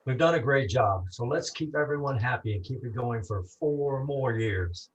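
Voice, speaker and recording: A man's voice: medium pitch, normal speed, lo-fi sound, background noise, natural voice. A man playing a self-satisfied politician giving a campaign speech in a noir radio play. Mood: smug. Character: self-satisfied city politician running for re-election.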